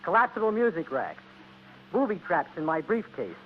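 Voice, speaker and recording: A man's voice: high-pitched, wildly swooping pitch, normal speed, narrow, tinny audio, slight background noise. A man doing scripted comic 1940s radio banter in an aggrieved, complaining manner, on an old broadcast recording. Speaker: radio show music director.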